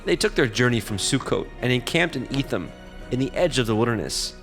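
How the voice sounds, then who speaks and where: A man narrating scripture from a script in a measured, solemn manner. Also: medium pitch, expressive, music in the background.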